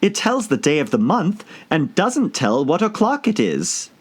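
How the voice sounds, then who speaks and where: A prim and curious young storybook girl, voiced by a man, pitched high. She speaks properly and emphatically, quick to take offence. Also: very animated, breathy edge, exaggerated voice. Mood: puzzled, polite.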